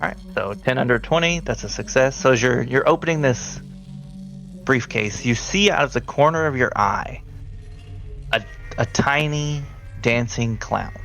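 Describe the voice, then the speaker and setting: Man, game master, creaky voice, medium pitch, conversational improvised narration, faint background music. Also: normal speed, fairly bright tone. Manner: conversational, addresses players directly. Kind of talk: narrating a tabletop role-playing game, improvised.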